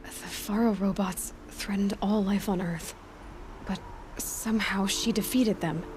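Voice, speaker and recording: A woman playing a quietly musing young hunter. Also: mid-range voice, steady tone, a little slow, slight background noise, close to an everyday voice. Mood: hushed. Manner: quiet, musing, half-whispered. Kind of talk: game cutscene acting.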